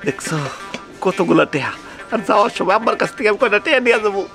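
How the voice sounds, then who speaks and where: Man playing a stingy, quick-talking son-in-law, high-pitched. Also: animated, pushed and bright, faint music behind, exaggerated voice. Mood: scheming. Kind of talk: Bengali village comedy skit acting.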